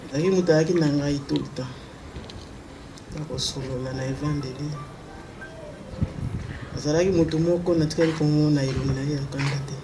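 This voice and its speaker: Man giving a flat, earnest tribute. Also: fairly high pitch, brisk, long pauses, music in the background, low-quality recording. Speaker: mourner giving a testimony. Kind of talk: personal tribute.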